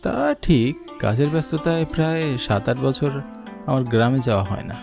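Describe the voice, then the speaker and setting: A man's voice: medium pitch, a little fast, vocal fry, lightly acted. Cartoon lead: male actor as earnest young schoolmaster-narrator, mid voice, music bed.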